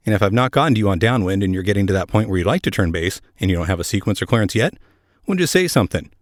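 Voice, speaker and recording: A man's voice: on the low side, expressive, very fast, dry recording, clean studio sound. A man hosting a podcast, delivering scripted narration in a conversational way with wry asides. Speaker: podcast host; air traffic controller.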